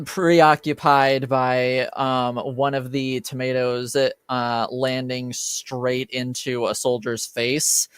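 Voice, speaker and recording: A man narrating a tabletop roleplaying game as game master, with a halting, deliberate delivery. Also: medium pitch, clean tone, no echo.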